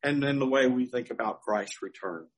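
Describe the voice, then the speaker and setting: A man's voice: medium pitch, moderate pace. An older man, a pastor, preaching a sermon through folksy personal stories with chuckling asides.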